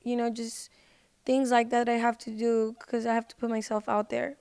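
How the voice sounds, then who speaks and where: A woman's voice: fairly high pitch, level tone, moderate pace, a little mellow, clean tone. A woman guest on a podcast, chatting casually and informally, with lots of "like" and "you know". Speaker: podcast guest, singer and social media personality. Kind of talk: casual chat.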